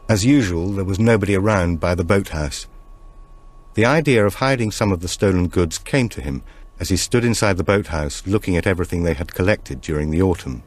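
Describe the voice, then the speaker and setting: Male audiobook narrator, deep pitch, scripted suspense storytelling, measured, deliberate phrasing.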